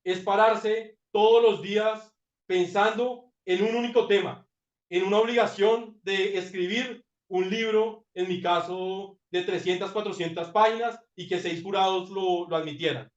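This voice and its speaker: A man's voice: sits high, fairly even intonation, unhurried. A man presenting his doctoral thesis in a master class, talking reflectively with frequent fillers and repeated words. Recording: roomy, dull lo-fi sound.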